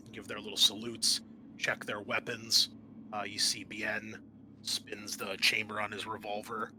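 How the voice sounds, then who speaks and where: A man's voice: on the low side, pushed and bright, slight background noise. A man narrating an improvised tabletop game as game master, hesitating as he searches for words.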